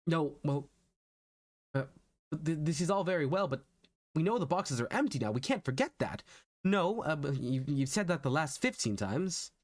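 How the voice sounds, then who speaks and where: A man's voice: a little high, animated, normal speed, no echo, studio quality. A man playing an anguished, manic time-travelling hero in an intense, exasperated theatrical monologue. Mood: exasperated, insistent. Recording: background music under the voice.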